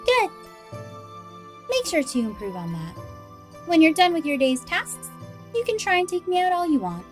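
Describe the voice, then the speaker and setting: A woman's voice: extremely high pitch, wildly swooping pitch, normal speed, faint music behind, full character voice. A woman playing a haughty, playful vampire mistress in a radio drama, with an imperious, teasing manner. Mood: bossy, playful.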